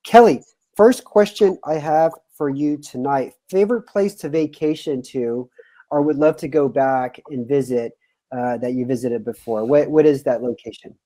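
A man's voice: mid-range voice, moderate pace, mellow. A man in his thirties hosting a webinar over a video call from a room at home, upbeat and loose, with many fillers. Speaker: webinar host.

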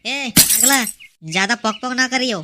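A woman's voice: fairly high pitch, normal speed, heavy background noise. A performer voicing a brash young village man in a cartoon, cocky and crude, bossing his family around. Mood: boastful.

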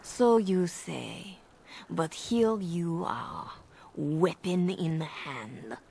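A woman's voice: fairly low pitch, animated, drawn-out words, gravelly, darkish tone, heavily acted. An actress playing a scheming, seductive female spymaster villain in a game, mocking and silky. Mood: cold, accusing. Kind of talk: dramatic game dialogue. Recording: faint background noise.

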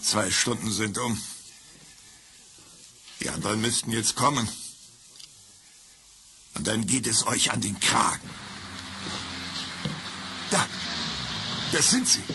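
An actor playing a gruff counterfeiter who poses as a shepherd and threatens two children, in a radio play. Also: male voice, mid-range voice, expressive, slow pace, pauses often, noisy background. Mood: menacing. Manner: threatening, snarling. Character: gruff male counterfeiter posing as shepherd, menacing. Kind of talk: 1970s radio play acting.

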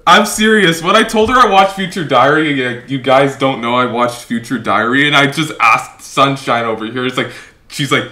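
A man in his twenties hosting a reaction video in casual chat, excitable and repeating his phrases. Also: mid-range voice, normal speed. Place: small room indoors. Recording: roomy sound, faint noise.

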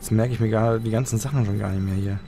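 A man's voice: on the low side, fairly even intonation, fast talker, background noise. A man giving casual let's play commentary, half muttering to himself as he plays. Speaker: let's player. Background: game music.

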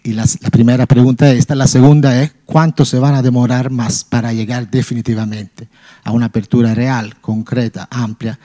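A man in his forties, a native Italian speaker with a slight Cuban lilt, asks a formal question from a conference audience into a handheld microphone in a large hall, earnest and halting. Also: mid-range voice, level tone, mellow. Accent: Italian, with some Cuban Spanish. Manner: earnest, halting, with frequent pauses. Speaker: audience member asking a question.

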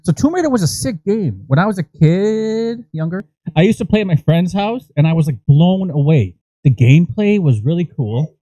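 A man's voice: high voice, expressive, normal speed, warm tone, no echo, rough recording. An American man in his thirties co-hosting a podcast, giving crude, opinionated comic commentary with swearing, close on the mic. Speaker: podcast co-host. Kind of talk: comedic ranting movie commentary. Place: small indoor room set up as a podcast studio. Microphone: close podcast microphone.

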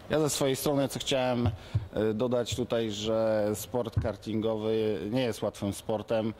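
A man answering interview questions in a halting way, pausing often on hesitation sounds. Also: lowish voice, steady tone, a little mellow.

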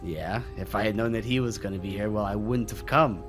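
A man plays a proud vampire army leader in a game roleplay. His voice is on the low side, haughty and scornful, dismissive of lesser creatures. Music sits behind him. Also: brisk, close to an everyday voice. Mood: smug. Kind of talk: amateur game roleplay acting.

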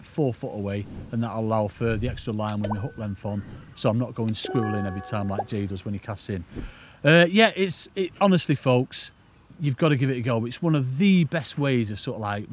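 A man's voice: mid-range voice, breathy edge. British man, casual enthusiastic fishing presenter. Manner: enthusiastic, informal, rambling explanations. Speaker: angling presenter demonstrating a rig. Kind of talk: casual instructional chat to camera.

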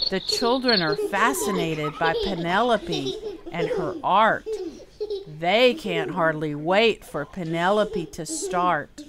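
Slow, expressive woman reading to children. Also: medium pitch. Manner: expressive, enunciated, drawn-out key words. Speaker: storyteller, host. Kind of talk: children's read-aloud storytelling. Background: music.

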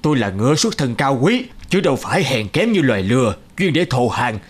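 A man's voice: mid-range voice, sing-song, a little fast, breathy edge. An actor voicing a snooty male horse proud of his noble birth, haughty and dismissive, in a cartoon fable. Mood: disdainful.

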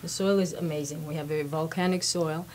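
Woman, 30s, from Armenia, low voice, winery guest, informative, lapel mic, faint hiss. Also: steady tone, a little fast, darkish tone. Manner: poised and informative, explains grapes and history. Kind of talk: interview guest. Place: wine shop studio set, indoors.

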